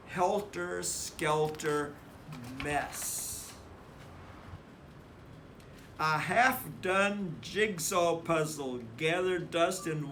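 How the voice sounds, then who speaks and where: Male children's story reader, unhurried and deliberate, stressing words, with faint background noise. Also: high-pitched, fairly bright tone. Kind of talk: read-aloud children's story narration.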